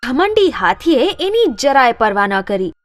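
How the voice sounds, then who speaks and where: Woman narrator for children's tales, expressive and higher-pitched, over faint background music. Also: measured pace, no echo. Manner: expressive storytelling. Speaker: storyteller, narrator. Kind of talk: scripted children's story narration.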